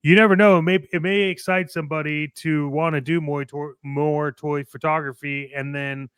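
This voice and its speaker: A man's voice: fairly high pitch, level tone, moderate pace, fairly bright tone, clean tone, dry recording. A man co-hosting a show, giving casual opinions in a blunt, emphatic way with some swearing. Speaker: co-host of a toy collecting show.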